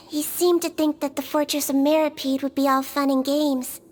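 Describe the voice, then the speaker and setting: A woman voicing a small, gentle, earnest young nurse creature in a video game, sweet and softly polite. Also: high voice, flat delivery, normal speed, lightly acted. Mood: earnest, rueful. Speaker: doctor.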